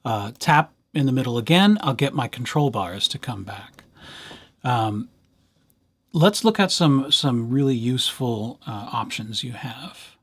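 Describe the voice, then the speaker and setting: A man giving an informal software tutorial as a library instructor, talking conversationally with lightly playful asides. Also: mid-range voice, lively intonation, measured pace, slightly rough.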